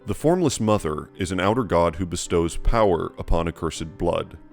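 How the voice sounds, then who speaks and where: A man with a low voice narrates a scripted explainer, laying out each point in a measured, deliberate way. He speaks close to the mic, with quiet music behind him. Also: animated, creaky. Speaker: lore video narrator.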